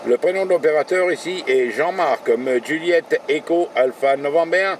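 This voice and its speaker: A man's voice: on the high side, normal speed, slight background noise. A man working as a radio operator from a vehicle, calling and chatting in radio jargon with spelled-out call signs. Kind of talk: radio contact calls and chat. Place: in a vehicle, mobile station.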